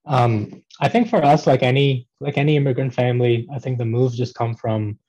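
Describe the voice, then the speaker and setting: A man from Pakistan in his thirties, a podcast guest telling his life story in a relaxed, casual way with many ums and restarts. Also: mid-range voice, moderate pace, husky, highs cut off. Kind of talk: casual conversational interview.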